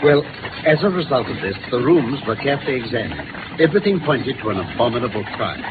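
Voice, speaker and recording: A man with a British accent playing a brisk, incisive gentleman detective in a 1950s radio drama, articulate and theatrical as he lays out facts. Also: mid-range voice, big pitch swings, natural voice. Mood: brisk, matter-of-fact. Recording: noisy, phone-like old radio recording.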